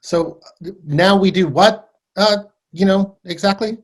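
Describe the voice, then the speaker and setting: A woman playing an awkward circus clown with a husky voice and faltering, tentative speech, in a staged play reading. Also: mid-range voice, unhurried, close to an everyday voice. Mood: nervous, tentative.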